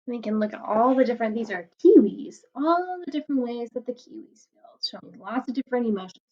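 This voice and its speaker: A woman teacher reading a picture book aloud to children, gently explaining words and asking them questions. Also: a little high, expressive, fast, dull audio.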